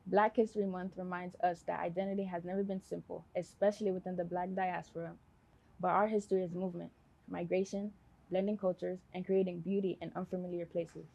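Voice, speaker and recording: Low, even-voiced woman giving a speech. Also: creaky. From United States. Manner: measured, earnest delivery in short phrases. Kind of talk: prepared personal speech.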